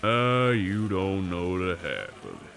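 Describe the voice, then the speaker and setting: A man's voice: deep voice, wildly swooping pitch, slow, vocal fry, fairly bright tone, heavily acted. A man playing a cocky, menacing supernatural brawler, in an audio drama. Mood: wry, guarded. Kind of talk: audio roleplay drama acting.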